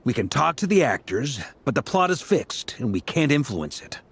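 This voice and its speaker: A man's voice: mid-range voice, big pitch swings, moderate pace, vocal fry, breathy edge, heavily acted. A man playing an upbeat, playful young adventurer who reasons things out in a video game. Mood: explaining.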